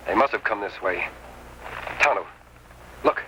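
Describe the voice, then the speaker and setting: A man's voice: fairly low pitch, lively intonation, a little slow, bright, forceful tone, full character voice, poor audio. An actor playing a masked lawman hero in an old Western radio drama, with an American accent and an earnest, authoritative delivery. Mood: watchful. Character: masked lawman hero, steady, commanding, upright. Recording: old radio recording, faint noise.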